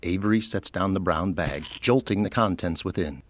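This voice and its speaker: Casting: male narrator for audio drama, deep voice, scripted scene-setting read. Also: moderate pace.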